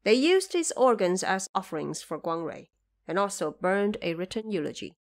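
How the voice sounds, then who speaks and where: Female narrator, mid-pitched voice, scripted dramatic storytelling for a classic adventure audio drama. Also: big pitch swings, moderate pace.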